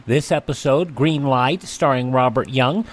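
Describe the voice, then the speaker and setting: A male radio host announcing an old drama episode in a measured way. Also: mid-range voice. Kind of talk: scripted announcing.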